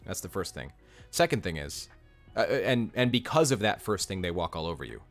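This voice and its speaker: A man with a deep voice hosting a live stream, reading comments and giving blunt, direct relationship advice. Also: very animated, brisk, soft background music. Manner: blunt, direct advice, repeats key points.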